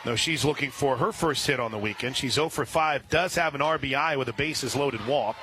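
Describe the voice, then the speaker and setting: A man with a medium-pitched voice does live softball play-by-play for radio. He describes each pitch and chats in detail between them. Clear outdoor ballpark noise sits behind his voice. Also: a little fast. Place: outdoor softball stadium broadcast position.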